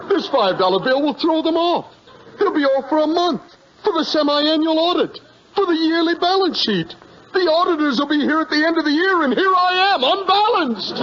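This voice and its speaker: A fussy, flustered department store bookkeeper in a 1950s radio comedy. His high voice leaps around as he protests and pleads with melodrama. The old recording is dull and hissy.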